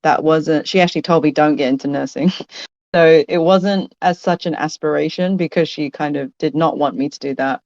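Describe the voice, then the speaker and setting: A woman from London, a podcast guest who answers thoughtfully, pausing and restarting phrases. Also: low-pitched, steady tone, darkish tone, dry recording, highs cut off. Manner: thoughtful, hesitant, restarts phrases and laughs often. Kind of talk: casual interview conversation.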